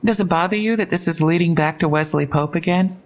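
An actress playing a frank, inquisitive police investigator in an audio drama, matter-of-fact and wry, with a husky, creaky voice. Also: female voice, on the low side, brisk, darkish tone, close to an everyday voice. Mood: matter-of-fact, probing. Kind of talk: full-cast audio drama dialogue.

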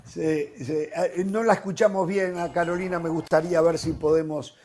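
A man hosting a sports talk panel, animated and leaning on "eh" fillers as he leads a heated debate. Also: fairly high pitch, normal speed, a little hiss or hum. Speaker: sports talk show host.

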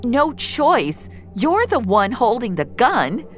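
A woman's voice: on the high side, sing-song, slow, fairly bright tone, faint music behind, voice pushed up, exaggerated voice. A woman acting a defiant, wounded fugitive woman in an audio drama. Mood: desperate, angry. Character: defiant, wounded woman on the run, dream-eraser.

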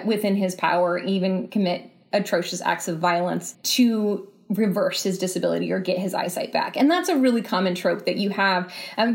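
A woman speaking as a guest in a podcast interview, reflective and explanatory, often pausing mid-phrase and softening points with casual qualifiers. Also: medium pitch. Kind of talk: thoughtful podcast interview conversation.

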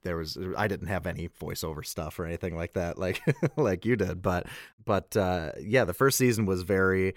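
A man with a deep voice chats casually as a guest actor. The sound is very dry, with hardly any room around his low, conversational voice. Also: moderate pace, no echo. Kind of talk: casual chat.

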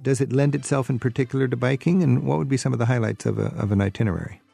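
Middle-aged male radio host, dark and mellow tone, conversational interviewer, close dry studio mic. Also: mid-range voice, fairly even intonation, racing, gravelly, husky, no echo, soft background music. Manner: curious, conversational questioning. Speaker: travel radio show host.

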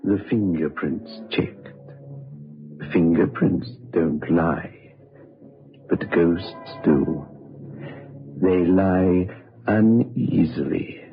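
Deep spooky radio mystery narrator, super slow and brooding, muffled old recording. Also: male voice, faint music behind, full character voice. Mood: ominous. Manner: slow, portentous.

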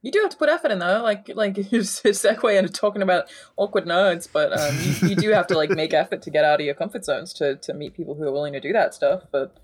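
Casting: young Australian woman, deep voice, chatty podcast co-host. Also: fast talker, hardly any pauses. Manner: laughs often, chatty and animated. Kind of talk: casual chat.

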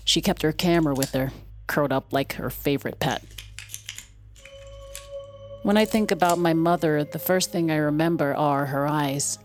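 A lowish woman's voice played by an actress narrating an audio drama as a grown daughter looking back. Her delivery is measured and wistful, over faint music. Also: natural voice. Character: reflective grown daughter recalling her childhood. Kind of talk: scripted first-person audio drama narration.

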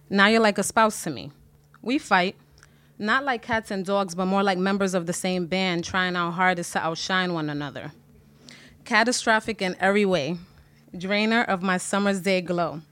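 Woman slowly reciting a poem. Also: mid-range voice, fairly bright tone. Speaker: poet reader. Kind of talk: spoken word poetry.

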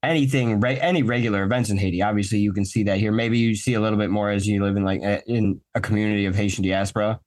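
A man's voice: on the low side, fairly even intonation, quick pace, few pauses, darkish tone. A man hosting a podcast interview, talking loosely and conversationally with fillers. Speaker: podcast host.

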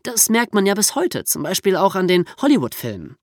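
A man's voice: high-pitched, wildly swooping pitch, a little fast, no echo, studio quality. A man co-hosting a podcast, explaining history in a conversational storytelling manner. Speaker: podcast host.

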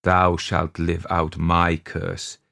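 A man narrator plays a grizzled old curse-bearer from a storybook legend. He is ominous and commanding, drawing his words out very slowly in a low, creaky voice. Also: monotone, pushed and bright, heavily acted. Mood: menacing.

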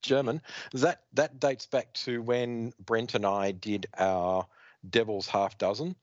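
A man's voice: mid-range voice, fairly even intonation, slow, no echo. A man hosting a podcast that recaps comic books. He reads the story aloud expressively and acts out the dialogue. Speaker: podcast host. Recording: dry, slightly dull lo-fi sound.

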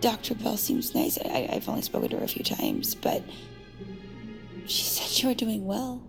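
An actress playing an anxious, tender partner, earnest and soothing but hesitant, in an audio drama. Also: female voice, medium pitch, huge pitch swings, moderate pace, vocal fry, exaggerated voice. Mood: tender worry. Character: anxious, tender female partner visiting a confined lover. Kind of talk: audio fiction acting. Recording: music under the voice.

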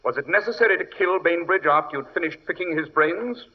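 An actor playing an imperious, coolly sardonic British detective in 1940s radio drama style, crisp, superior and dryly witty. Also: male voice, on the high side, animated, a little fast. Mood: defiant. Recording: dull reverberant vintage radio recording.

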